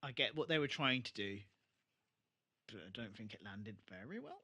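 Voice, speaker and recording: Bright, forceful-voiced man chatting. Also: lowish voice, very animated, moderate pace, breathy edge. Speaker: podcast co-host. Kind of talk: casual TV rewatch discussion.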